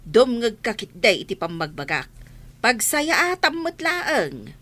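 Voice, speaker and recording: A woman's voice: a little high, big pitch swings, unhurried, a bit bright, exaggerated voice. A woman playing a stern, fed-up woman who scolds sharply in an audio drama. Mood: scolding. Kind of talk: Filipino audio drama acting.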